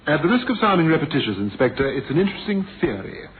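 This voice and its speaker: An actor playing a smoothly polite, skeptical man in a radio mystery, dry and doubting in manner. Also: on the high side, sing-song, fast. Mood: skeptical, intrigued. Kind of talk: 1970s radio mystery drama acting. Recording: muffled, noisy old radio recording.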